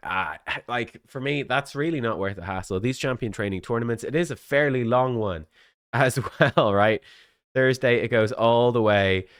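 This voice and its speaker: A man's voice: medium pitch, moderate pace, clear, resonant tone, dry recording, clean studio sound. A man with a cold, sniffly and coughing, chatting casually as a game content creator, with many fillers and restarts.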